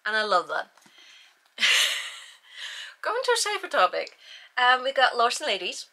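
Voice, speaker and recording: A woman's voice: fairly high pitch, sharp tone. A young woman from Iceland with an Icelandic accent, chatting casually and a little self-deprecatingly about books she has read, with frequent restarts. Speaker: book channel host. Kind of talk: casual book review vlog.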